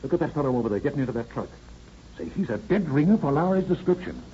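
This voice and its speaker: An actor playing a businesslike American detective captain, clipped and slangy, in a 1930s radio crime drama. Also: male voice, medium pitch, animated, fast, mellow, close to an everyday voice. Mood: brisk, keen. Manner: clipped, matter-of-fact, slangy. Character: brisk, businesslike, polite police detective captain. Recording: muffled, noisy vintage radio recording.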